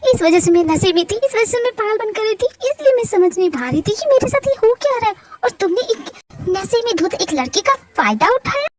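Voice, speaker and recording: A high, bright-pitched young woman, fiery and wounded, firing off heated accusations in a storybook reading voiced by a woman. Her lines come in rapid, pleading bursts. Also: big pitch swings, heavy background noise. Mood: accusing.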